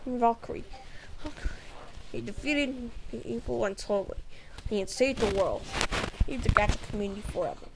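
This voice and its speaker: A woman reading aloud and commenting casually in a halting, stumbling way. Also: fairly high pitch, creaky. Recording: heavy background noise.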